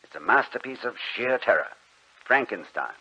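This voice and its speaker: Man, old-time radio announcer, polished scripted delivery, husky low tone, dull vintage sound. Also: big pitch swings, normal speed, sharp tone. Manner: polished, formal.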